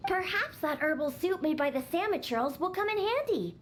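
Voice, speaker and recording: High-pitched, bratty little girl. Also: expressive, normal speed, a little hiss or hum. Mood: exasperated. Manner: scolding, bratty, matter-of-fact. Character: exasperated, bossy young cat-girl daughter, bartender. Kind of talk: video game character acting. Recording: faint game music behind the voice. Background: game music.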